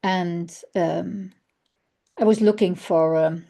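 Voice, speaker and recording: A woman with a German accent, a non-native English speaker, giving an online conference presentation in a halting, thoughtful way, with many fillers and restarts. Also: on the low side, steady tone, creaky, dark tone. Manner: thoughtful, searching for words. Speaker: researcher presenting.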